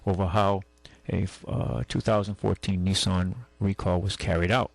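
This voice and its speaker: Gravelly, low-voiced male radio host. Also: little pitch movement, no room sound. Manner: halting, rambling delivery. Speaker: radio call-in show host. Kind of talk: casual talk radio, reading news and chatting. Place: radio studio. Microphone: close studio microphone.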